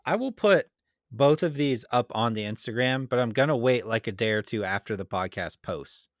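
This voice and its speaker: A man co-hosting a podcast in relaxed, casual conversation, agreeable and plain-spoken. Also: mid-range voice, normal speed, no echo, clean studio sound. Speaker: podcast co-host. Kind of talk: casual chat.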